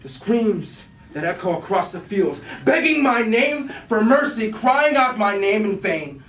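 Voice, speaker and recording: A man's voice: high voice, big pitch swings, measured pace, fairly bright tone, full character voice. A man playing a grave, war-weary robot warrior leader haunted by guilt, in solemn, declamatory Greek tragedy style at a staged reading. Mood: sorrowful, brooding. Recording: echoey room from far off, hiss.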